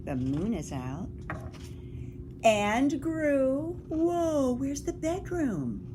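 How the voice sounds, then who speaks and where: A woman reads a picture book to young children at an unhurried pace. Her delivery swings widely in pitch, with suspenseful hushes and questions for the listeners. There is clear noise in the recording. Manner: expressive, dropping into whispers for suspense. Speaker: school librarian or teacher reading to children.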